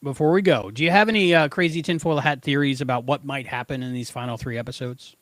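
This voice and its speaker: A man's voice: medium pitch, fast talker, a bit bright, dry recording. A man co-hosting a podcast with casual banter and playful, quippy interjections. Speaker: podcast co-host.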